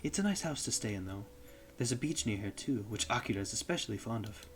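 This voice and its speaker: A courteous, soft-voiced young woman, blind and refined, speaks gently on the phone, as a man puts her on. Her tone stays polite and calm, lifted a little from his low register. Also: quick pace, close to an everyday voice. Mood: gentle.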